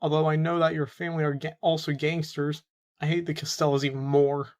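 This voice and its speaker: A man's voice: a little high, flat delivery, brisk, natural voice. A young male captive, played by a man, speaks defensively in a crime audio roleplay. He sounds earnest and protesting, with a streak of defiance toward those holding him. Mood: earnest, insistent. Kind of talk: amateur audio drama roleplay.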